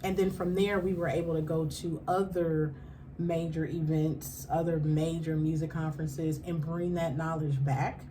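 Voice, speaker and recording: A woman's voice: low voice, flat delivery, normal speed, warm tone, slight background noise. A woman from Kansas City with a Kansas City accent, a radio show founder speaking as a guest in a casual interview, affirming and laughing often.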